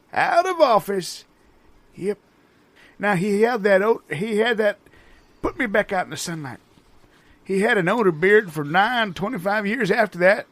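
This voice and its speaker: A man playing a forgetful, folksy old grandpa who tells halting tall tales in improvised comedy. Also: high voice, dry recording.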